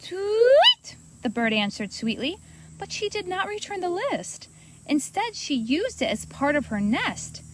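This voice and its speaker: A woman reading a children's storybook aloud as host, in an expressive, animated manner for young listeners. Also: high voice, normal speed, background noise.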